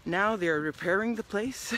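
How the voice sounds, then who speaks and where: A middle-aged woman talking casually to her phone while walking a wooded trail, rambling with many restarts and often trailing off into a quiet murmur. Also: mid-range voice, normal speed, slight background noise. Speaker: hiking vlogger. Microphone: phone held at arm's length.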